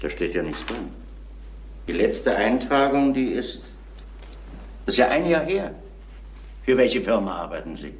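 An actor playing a persistent, authoritative police inspector in a crime radio play, putting curt, probing questions. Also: male voice, fairly low pitch, big pitch swings, unhurried, pauses often. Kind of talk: German crime radio drama acting. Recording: roomy, noisy vintage radio drama.

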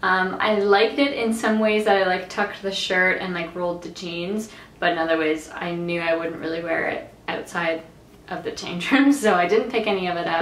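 A young woman from Canada chatting casually about clothes she tried on, slightly nasal and raspy from allergies, rambling with lots of fillers. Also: medium pitch, echoey room. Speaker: fashion vlogger. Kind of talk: casual try-on haul chat. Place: bright bedroom.

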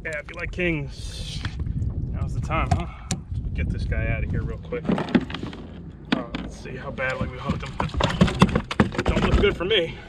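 A man's voice: medium pitch, a little slow, long pauses. A man in his thirties giving laid-back, colloquial fishing vlog commentary, often as if talking to himself, outdoors on a kayak. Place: outdoors in a kayak on open sea. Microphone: action camera mounted on kayak or body. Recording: loud wind and water noise outdoors.

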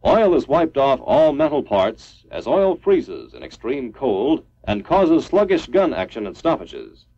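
A man's voice: mid-range voice, wildly swooping pitch, measured pace, hardly any pauses, mellow. A man reading a scripted 1950s military training film narration in a formal, clipped, instructional manner. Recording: dull lo-fi 1950s film soundtrack.

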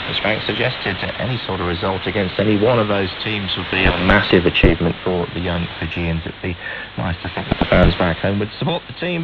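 A man hosting a sports radio programme, presenting and interviewing. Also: sits low. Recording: noisy muffled shortwave radio broadcast.

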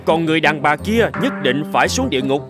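A man's voice: fairly high pitch, expressive, quick pace, music in the background. A man voicing a proud, dutiful angel gatekeeper in a fairy-tale cartoon, formal and self-assured. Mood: indignant. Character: proud, dutiful winged male angel guarding heaven's gate.

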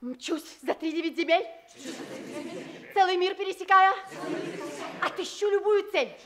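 An actress playing a proud, cruel young princess in a theatrical radio play. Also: female voice, extremely high pitch, measured pace, fairly bright tone. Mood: imperious, riddling.

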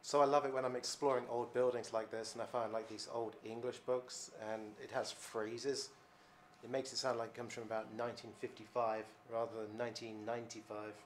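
A man's voice: medium pitch, little pitch movement, brisk, a little hiss or hum. A man casually narrating as he explores an abandoned hospital, chatty and curious, explaining what he finds. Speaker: urban explorer host.

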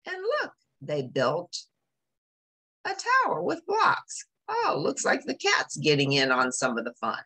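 Slow, very animated woman reading a storybook. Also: low-pitched, slightly rough. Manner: expressive, explanatory, with exclamations. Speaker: storybook reader. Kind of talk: read-aloud with casual commentary for young children.

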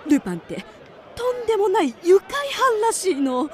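Distrustful know-it-all lady passenger, radio drama, highish swooping voice, faint crowd. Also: very animated, moderate pace.

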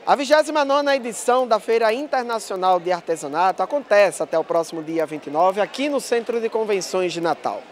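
A man reading a news report as voice-over, in a lively, animated way. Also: high-pitched, brisk, hardly any pauses.

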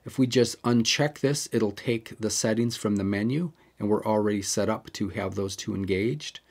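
A man's voice: fairly low pitch, moderate pace, darkish tone. Chatty man explaining camera settings. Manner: chatty, digresses, explains step by step. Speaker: camera tutorial host. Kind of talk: casual how-to walkthrough.

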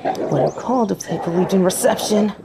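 A woman's voice: medium pitch, fairly quick, vocal fry, background noise, close to an everyday voice. A young woman playing a defiant, foul-mouthed biker girlfriend in a scripted audio roleplay, with emphatic, dramatic delivery. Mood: frightened, pleading.

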